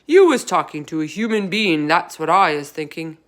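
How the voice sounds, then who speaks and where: A woman voicing a huge, brutish man-eating male giant for a storybook, booming and bullying in mangled grammar. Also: lively intonation, moderate pace. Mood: loud, accusing.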